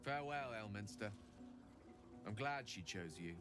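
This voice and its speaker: An actor playing a refined, wistful wizard in a video game, speaking eloquently and reflectively. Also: male voice, on the low side, expressive. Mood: wry, heavy-hearted. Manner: eloquent, reflective, measured phrasing. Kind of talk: video game dramatic acting. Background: music.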